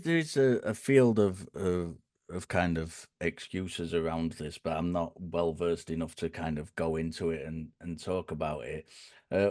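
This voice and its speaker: A man with a croaky, dry voice, explaining his reasoning in a thoughtful way and often restarting his phrases. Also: deep voice, a little mellow, dry recording. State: hoarse, croaky. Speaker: guest speaker. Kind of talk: conversational explanation.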